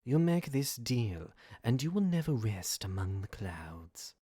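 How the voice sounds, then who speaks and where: Male actor as courteous demon tempter, slow, insinuating, formal, husky, dry close sound, audio drama. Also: fairly low pitch, sing-song, dark tone, no echo, heavily acted. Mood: coaxing. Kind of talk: podcast audio drama acting. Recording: dry amateur home recording.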